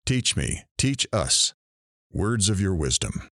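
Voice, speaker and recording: Radio drama host, played by a man: deep voice, expressive swings, weary, reflective, theatrical and measured. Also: no echo, clean studio sound, heavily acted. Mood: solemn.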